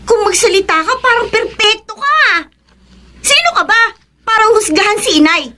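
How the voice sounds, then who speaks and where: A woman's voice: extremely high pitch, animated, moderate pace, sharp tone, slight background noise, heavily acted. A woman with a Filipino accent playing a loyal, outspoken young daughter in a radio drama. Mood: indignant. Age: young adult. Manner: earnest, outspoken. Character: helpful, loyal daughter, outspoken in defending her mother.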